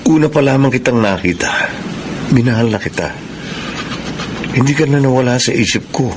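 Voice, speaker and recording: An actor with a Filipino accent playing an earnest, lovestruck suitor in a melodramatic radio drama. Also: male voice, medium pitch, lively intonation, moderate pace, lightly acted. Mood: ardent pleading. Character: ardent, lovestruck male suitor pleading his love. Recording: noisy audio with ambient sound.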